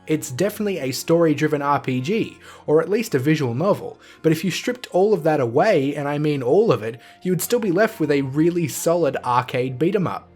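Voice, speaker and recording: A man's voice: on the high side, darkish tone. A man narrating a scripted video game review as the reviewer. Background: music.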